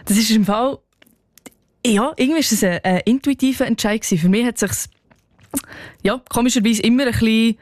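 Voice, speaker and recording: A woman's voice: on the high side, a little fast, long pauses, a little mellow, no room sound, studio quality. A young woman with a Swiss German accent, a singer-songwriter, who answers interview questions thoughtfully and with hesitant fillers. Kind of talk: radio interview.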